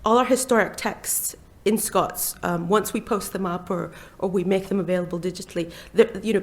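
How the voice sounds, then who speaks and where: A woman in her forties speaking as a panelist, earnest and measured, with frequent hesitations. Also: on the low side, creaky. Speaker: library learning officer on a panel. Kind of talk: live panel discussion talk.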